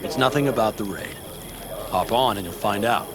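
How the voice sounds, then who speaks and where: An actor playing a solemn, fatherly nomad clan leader in a video game, with a measured, authoritative delivery. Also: on the low side, a crowd in the background, natural voice. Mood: solemn, firm.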